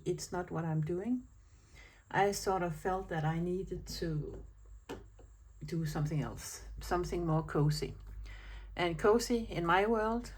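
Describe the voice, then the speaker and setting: Slow-talking Danish woman, low voice. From Denmark. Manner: chatty, rambling with many fillers and restarts. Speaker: planner vlogger. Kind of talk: casual chat to camera. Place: at home.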